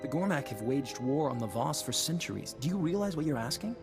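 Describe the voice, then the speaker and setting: A measured diplomat voiced by a man in a science-fantasy game. His mid-range voice is polite and matter-of-fact, with music clearly underneath. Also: mid-range voice, expressive, close to an everyday voice. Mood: diplomatic. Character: pragmatic male Republic diplomat, polite and measured. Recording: music behind the voice.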